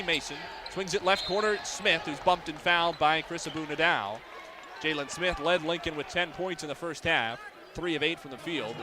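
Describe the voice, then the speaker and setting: A young American man doing live sports play-by-play, describing the action in crisp detail over venue noise. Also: a little high. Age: young adult. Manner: crisp detailed descriptions, voice raised on big plays. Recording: live game ambience, clear background noise. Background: sports venue sounds.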